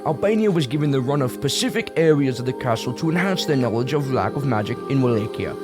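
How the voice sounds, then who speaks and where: A man's voice: medium pitch, animated, fast talker. A man narrating a scripted fantasy audio drama as a storyteller. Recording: prominent music bed behind.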